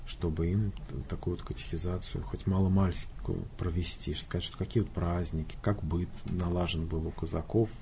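A man's voice: deep voice, little pitch movement, moderate pace, creaky, hardly any pauses, warm tone, a little hiss or hum. A man speaking earnestly about faith, explaining in a pious, careful way. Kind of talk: talk show conversation.

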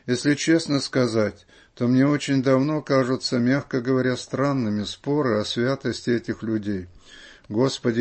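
A man's voice: medium pitch, darkish tone. A man reading listeners' letters aloud as scripted radio narration.

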